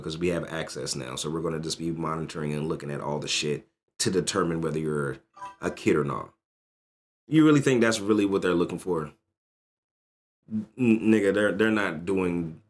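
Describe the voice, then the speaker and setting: A man in his thirties with a fairly low voice, reacting live on stream. He rants in a loose, profane, animated way, shooting up into higher bursts as he goes. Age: 30s. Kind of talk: casual livestream reaction chat.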